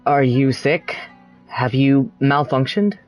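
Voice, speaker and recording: Someone reading game dialogue aloud in a hesitant, questioning, gently concerned way. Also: mid-range voice, takes it slowly, highs cut off, faint music behind.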